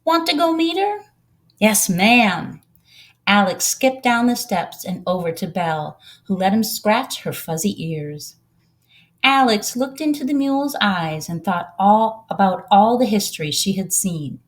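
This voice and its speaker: A woman narrates a children's story from the page with expression. Her pitch sits in an ordinary middle range for a woman, and she reads as a volunteer storyteller. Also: animated, a little slow.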